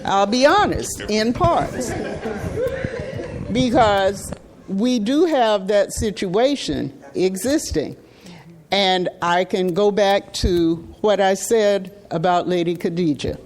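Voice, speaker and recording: Slow, deliberate woman replying on a panel. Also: medium pitch, expressive. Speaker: panel speaker.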